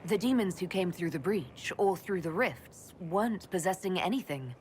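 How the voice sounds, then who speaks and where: A woman acts a compassionate elf mage who leads others in a fantasy game. Her voice sits a little low and she talks in a measured, firm manner. There is faint ambient noise around her. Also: expressive, a little mellow, close to an everyday voice. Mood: questioning. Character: composed, compassionate young female elf mage leader. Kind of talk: video game character acting.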